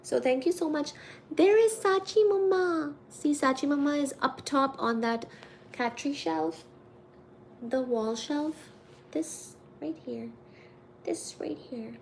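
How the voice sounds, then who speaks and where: A woman in her thirties from India, talking with her viewers in a casual home livestream. Her voice sits high and she takes her time, giggling and getting excited as she reads the chat. A faint background hiss sits under the voice. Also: slow. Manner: chatty, giggly, gets excited reading the chat. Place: home room with cat shelves.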